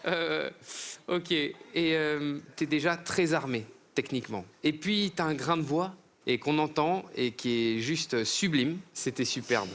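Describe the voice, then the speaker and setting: A man's voice: on the high side, unhurried, a bit bright. A man coach on a singing show chatting casually with a contestant, asking short questions and praising her. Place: TV studio with audience. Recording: noisy studio with live audience.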